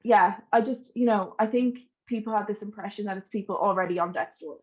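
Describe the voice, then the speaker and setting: A woman's voice: medium pitch, fairly quick, a little mellow, highs cut off. A young adult woman with an Irish accent, chatting casually and informally as a podcast guest telling personal stories. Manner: chatty, informal, laughs easily.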